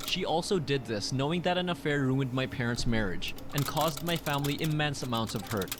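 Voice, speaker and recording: Male narrator, online story reader, mid-range pitch, audibly noisy recording. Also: animated, fairly quick. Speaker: narrator of online relationship stories. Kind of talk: scripted narration, reading posts aloud. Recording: clearly noisy background.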